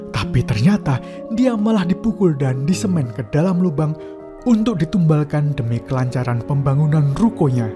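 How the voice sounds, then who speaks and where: A man narrating a cartoon story from a script. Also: a little high. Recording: music playing behind.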